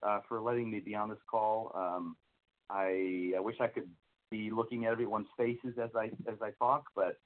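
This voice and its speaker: A man from the American Midwest in his fifties, a guest on a call, telling his career story in a friendly, earnest, slightly halting way. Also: fairly low pitch, fairly even intonation. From United States (Minnesota, Iowa). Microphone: phone. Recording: tinny phone line from far off.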